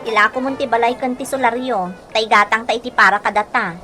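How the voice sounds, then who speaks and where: A woman's voice: on the high side, steady tone, a little fast, over music, natural voice. A woman voicing a hurt wife left by her husband, in a radio drama. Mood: quiet.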